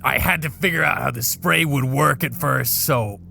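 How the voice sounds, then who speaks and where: A man improvising an eager, earnest job applicant in an audio drama, giving a halting, rambling sales pitch. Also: vocal fry, noisy background.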